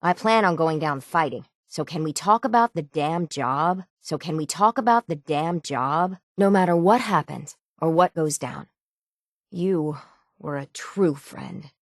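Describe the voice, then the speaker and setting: Gravelly, weary island sailor woman. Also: sits low, lively intonation, unhurried, no room sound, clean studio sound. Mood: stubborn, resolute. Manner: plainspoken, folksy rural islander speech, resigned yet stubborn. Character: weary, stoic, gruff dying island sailor woman guarding the town's hull. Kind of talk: dramatic video game dialogue.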